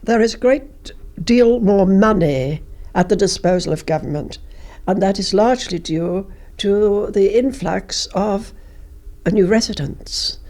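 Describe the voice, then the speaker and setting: An older woman, a former politician, reminiscing in an interview in a measured, anecdotal way. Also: mid-range voice, dark tone.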